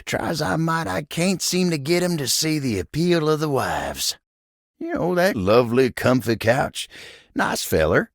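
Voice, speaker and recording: An actor playing a folksy, humble, hardworking cowboy coffee table in a video game, with a gravelly American Southern drawl and an easygoing, genial manner. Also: male voice, fairly low pitch, dry recording, clean studio sound, heavily acted. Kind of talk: video game character dialogue.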